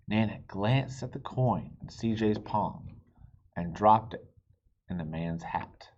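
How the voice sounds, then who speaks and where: Male picture-book reader, slow and animated, expressive with dramatic pauses; slightly muffled, noisy home recording. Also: lowish voice. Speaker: storybook reader. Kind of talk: expressive children's picture-book read-aloud.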